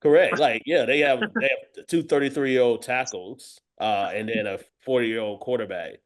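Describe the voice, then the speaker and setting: A man's voice: mid-range voice, moderate pace. A man giving casual football analysis as a guest, emphatic, often repeating and rephrasing as he goes. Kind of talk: casual sports talk with analysis.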